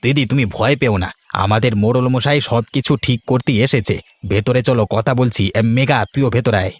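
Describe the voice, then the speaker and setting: A man's voice: medium pitch, steady tone, a little fast, dry recording, close to an everyday voice. A man playing a helpful, scheming villager in a cartoon moral story, explaining things with assurance. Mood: reassuring.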